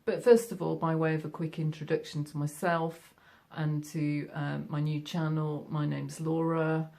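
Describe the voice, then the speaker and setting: Yorkshire lady chatting about sewing, gravelly low voice, lots of ums. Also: little pitch movement, a little slow, a little mellow. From Yorkshire, England. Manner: chatty, friendly, many fillers. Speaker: sewing vlogger. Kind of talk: casual chat to camera. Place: home sewing room.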